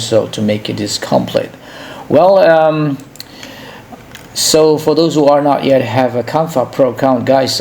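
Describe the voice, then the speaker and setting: Gravelly Indonesian man giving a halting tutorial. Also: mid-range voice, a little mellow, slight background noise. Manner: hesitant, halting, repeats himself. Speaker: tutorial host. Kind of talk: informal software tutorial narration.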